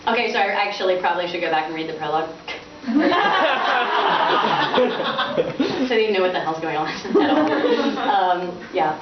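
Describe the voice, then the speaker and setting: A woman author giving an expressive, lightly dramatized live reading of fiction. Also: medium pitch, a little slow, long pauses, far from the mic. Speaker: author reading from their novel. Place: indoors in a bookstore. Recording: muffled, echoey, noisy room from afar.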